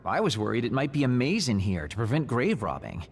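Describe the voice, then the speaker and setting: A man's voice: mid-range voice, big pitch swings, fairly quick. An actor playing a laid-back, chatty young male teammate in a video game, casual in delivery. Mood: easygoing. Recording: long echo, faint noise.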